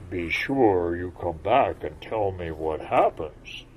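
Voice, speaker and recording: A man voicing a kindly, wise old male frog in a deep, frogish voice. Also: animated, slow pace, a little hiss or hum, rough recording. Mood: advising.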